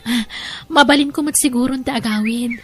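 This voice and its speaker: High-pitched Ilocano drama heroine acted by a woman. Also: brisk, faint music behind, close to an everyday voice. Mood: friendly, warm. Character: tearful jilted young woman, kind to a child. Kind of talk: Ilocano radio-style audio drama.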